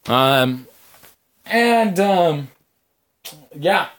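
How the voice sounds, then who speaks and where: A man with a bright, forward voice that sits a little high hosts a casual podcast. He rambles to his viewers in a halting, hesitant way, often stretching out pauses as he looks for the next phrase. His overall delivery is very unhurried. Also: lively intonation. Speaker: podcast host.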